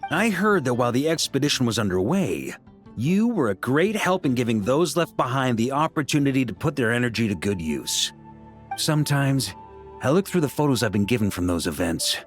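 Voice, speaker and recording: A man acting as a seasoned knight commander from a fantasy video game, older and fond of drink. He talks in an easygoing, boastful way with a lively, swinging intonation at a medium male pitch. Music plays behind him. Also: fairly quick, lightly acted. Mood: relaxed, reflective. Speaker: video game character voice actor. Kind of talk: conversational video game dialogue.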